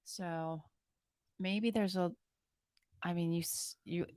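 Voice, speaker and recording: A woman's voice: fairly low pitch, fairly even intonation, takes it slowly, a little mellow, clear, resonant tone, no echo, clean studio sound. A woman co-hosting a podcast in casual chat, questioning and pushing back playfully. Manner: skeptical, questioning, playful pushback. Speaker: podcast co-host.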